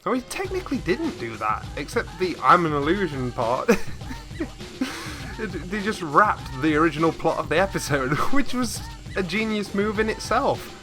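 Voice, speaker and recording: A man's voice: on the high side, sing-song, moderate pace, over music. A man hosting a reaction video, chatting casually to viewers in a rambling, easily amused way on a desktop microphone. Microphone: desktop USB microphone, slightly set back.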